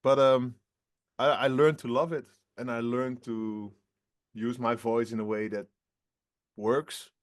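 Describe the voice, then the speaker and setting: A singer in his twenties answering interview questions in a relaxed, halting way, often laughing between phrases. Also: medium pitch. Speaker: rock band singer, guest.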